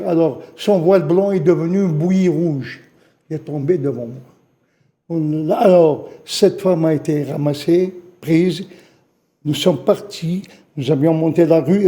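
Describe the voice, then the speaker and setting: An older man from Algeria tells his eyewitness story as a guest in a TV studio. His voice sits high for a man but sounds very dark and mellow. He speaks haltingly and often repeats his phrases. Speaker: witness guest. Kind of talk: spoken testimony in a studio interview.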